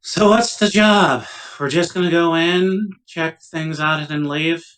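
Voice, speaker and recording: A man's voice: on the high side, unhurried, fairly bright tone, smooth. A man playing a tabletop roleplaying game, speaking casually in character. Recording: distant microphone.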